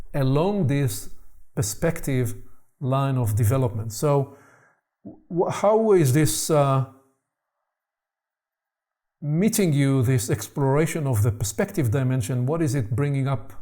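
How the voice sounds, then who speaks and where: A man born in Israel hosting a reflective conversation on personal development, speaking in a slow, searching way and repeating words as he thinks. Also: mid-range voice, mellow. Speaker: host.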